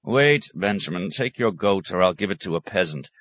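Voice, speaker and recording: A man's voice: low voice, animated, normal speed, a bit bright, no room sound. A man voicing a good-humoured elderly abbot in an audiobook, chiding in a familiar, mock-stern way. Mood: amused, exasperated. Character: elderly abbot, good-humoured, exasperated old friend of hermit.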